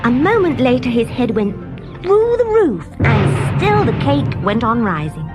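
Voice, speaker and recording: A woman narrating a scripted children's story as an expressive storyteller. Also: a little high, measured pace, over loud music. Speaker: story reader.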